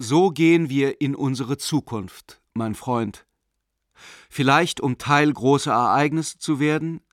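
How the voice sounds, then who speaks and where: A man acting an earnest family man and official, caught between doubt and hope, in a radio play. He voices his letter reflectively, with deliberate, rhetorical phrasing and a medium pitch. The recording is dry and close to the studio sound. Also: no echo, natural voice. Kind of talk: radio drama letter reading.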